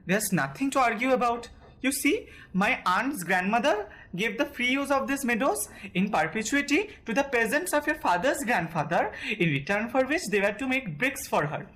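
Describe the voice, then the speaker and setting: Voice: mid-range voice, expressive, normal speed, a bit bright, close to an everyday voice, rough recording. A young student actor with an Indian accent playing a nervous, fussy landowner suitor in an amateur audio drama, stammering and formal. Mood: insistent. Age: young adult.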